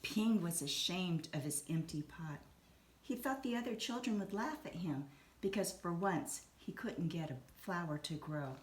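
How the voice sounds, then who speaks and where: A woman reading a children's story aloud in an expressive, deliberate storytelling manner. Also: on the low side. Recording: roomy echo, some background noise.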